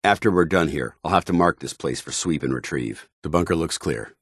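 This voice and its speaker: An American actor playing a stern, disciplined armored soldier in a video game, speaking in a formal, clipped, commanding way. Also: male voice, extremely low pitch, expressive, a little fast, a bit bright, breathy edge, dry recording, clean studio sound, exaggerated voice. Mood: calm, watchful. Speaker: video game companion character. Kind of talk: scripted game dialogue and combat barks.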